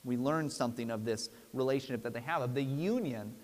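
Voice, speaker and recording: A man in his thirties preaching a sermon from a church pulpit in an earnest, emphatic, deliberate way. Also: medium pitch. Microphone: lapel mic. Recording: long hall reverb.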